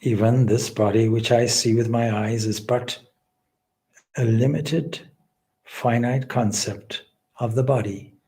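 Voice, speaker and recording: A man leading a guided meditation as a spiritual teacher, speaking in measured, deliberate, solemn phrases with long pauses. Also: mid-range voice, steady tone, warm tone.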